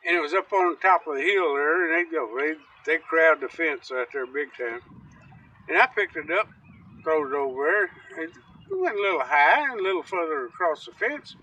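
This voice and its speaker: A man telling rambling, folksy stories in casual chat outdoors on a riverbank, picked up from some distance. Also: high voice. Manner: rambling, folksy, animated storyteller. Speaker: storytelling uncle, guest.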